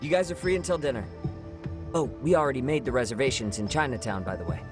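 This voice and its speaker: A man's voice: medium pitch, fast, music in the background, lightly acted. A man playing a breezy young rookie detective. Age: young adult. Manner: friendly, breezy planner.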